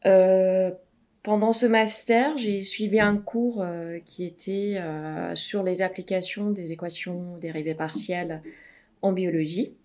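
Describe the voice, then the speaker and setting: A middle-aged woman from Iran, a research mathematician, answering interview questions with many pauses and fillers. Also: lowish voice, steady tone, a little mellow.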